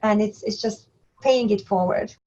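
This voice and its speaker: A woman whose first language is not English, a guest on an education podcast, speaking in a reflective, measured way. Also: mid-range voice, darkish tone, dull audio. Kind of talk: podcast interview.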